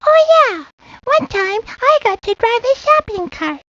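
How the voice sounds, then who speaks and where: Tiny, very high-voiced little kid. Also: female voice, big pitch swings, measured pace, a bit bright, heavily acted. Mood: proud, chatty. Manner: earnest, rambling, childlike boasting. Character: very young child, proud, rambling, easily delighted. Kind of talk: video game character monologue. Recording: lo-fi 1990s game audio, faint hiss.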